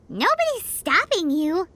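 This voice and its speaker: Chirpy, teasing little fairy sidekick; very high, slow and sing-song. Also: female voice, very noisy, heavily acted. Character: tiny floating fairy companion, cheeky and chatty. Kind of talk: video game voice acting.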